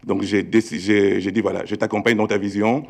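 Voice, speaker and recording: A man's voice: fairly low pitch, little pitch movement, fairly quick, creaky. A middle-aged man from Congo, raised in Belgium, telling a story informally as a panelist. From Congo-born, raised in Belgium. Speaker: panelist, tech entrepreneur.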